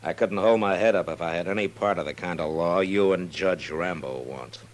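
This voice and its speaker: An American actor playing a stern, weary, principled Old West marshal in an old-time radio drama. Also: male voice, low voice, moderate pace, lightly acted. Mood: grave, firm. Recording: noisy old radio recording with hum.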